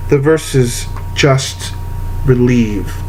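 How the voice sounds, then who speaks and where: A man reading old letters aloud to an audience in a measured, deliberate way, with long pauses. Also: medium pitch, darkish tone, a little hiss or hum, low-quality recording. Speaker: reader of letters.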